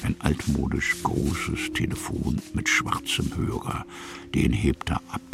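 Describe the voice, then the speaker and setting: A man narrating a radio drama in a gravelly, husky voice, musing in short phrases. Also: very deep voice, level tone, slow, over music, full character voice.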